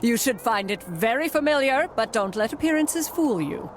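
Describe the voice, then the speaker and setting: A woman's voice: a little high, animated, normal speed, a little hiss or hum, natural voice. A woman playing a dramatic, mystical fortune teller in a cartoon game, grandiose in her delivery. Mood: enraptured, mysterious. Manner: theatrical, grandiose.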